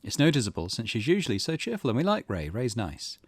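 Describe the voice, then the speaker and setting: Animated man, dry close-miked commentary. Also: medium pitch, fast, breathy edge, no room sound. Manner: chatty, musing aloud. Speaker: gaming video host. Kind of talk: casual let's play commentary.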